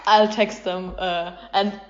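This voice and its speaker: A woman's voice: on the low side, little pitch movement, slow. Woman hosting a chatty podcast, slightly muffled. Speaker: podcast host asking quick either-or questions. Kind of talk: casual podcast chat. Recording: slightly dull podcast recording.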